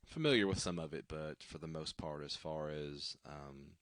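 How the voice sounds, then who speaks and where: A man with an extremely low baritone, his voice worn and scratchy. He talks casually and haltingly, with lots of ums and restarts. The sound is close and dry. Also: fairly bright tone, no echo. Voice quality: deep baritone. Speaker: worship singer hosting a livestream. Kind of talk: casual livestream sign-off chat. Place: at home.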